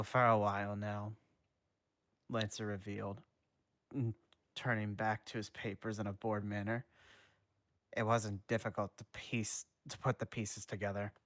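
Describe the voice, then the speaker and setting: A man reading a fanfic aloud on a stream, halting and often stumbling and restarting his words. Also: on the low side, no echo, studio quality. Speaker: fanfic reader.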